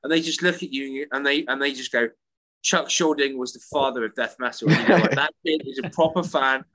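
A man co-hosting a podcast and chatting casually, an animated storyteller who repeats words as he starts his sentences. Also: mid-range voice, brisk, dry recording, low-quality recording. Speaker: podcast co-host and English teacher. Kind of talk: casual chat. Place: works in Italy.

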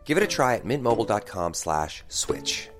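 Wry, animated male ad spokesman. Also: normal speed, over music. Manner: wry, sarcastic pitch. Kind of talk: scripted comedic ad.

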